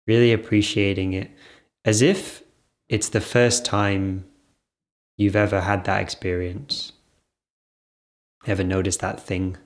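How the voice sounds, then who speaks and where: A man with a fairly low voice leads an improvised guided meditation. His delivery is very slow, broken into short phrases. He leaves gentle pauses between them.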